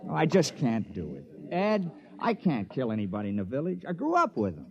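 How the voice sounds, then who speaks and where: An actor playing an earnest, gentle villager who tries to pass as a criminal, folksy, hesitant and apologetic, in old radio drama style. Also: male voice, mid-range voice, huge pitch swings, fairly quick, gravelly. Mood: earnest, eager. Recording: dull, band-limited old radio recording.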